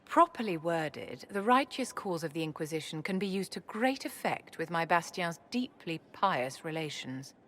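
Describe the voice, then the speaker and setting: Haughty, lowish-voiced scheming noblewoman. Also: expressive, moderate pace, hardly any pauses, a bit bright, slight background noise. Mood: smug. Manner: imperious, silky, condescending. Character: haughty, scheming aristocratic enchantress courtier, poised. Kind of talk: dramatic game acting.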